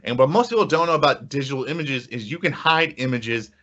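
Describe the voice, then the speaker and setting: A man's voice: medium pitch, animated, fast talker, fairly bright tone. A man hosting a podcast in casual chat, explaining things informally and circling back over his phrases. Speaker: podcast host. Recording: dull lo-fi podcast audio.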